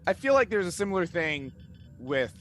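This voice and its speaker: A man's voice: sits high, sing-song, normal speed, a bit bright, faint music behind. A man talking casually and emphatically as a podcast game master, often restarting his sentences. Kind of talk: casual group chat.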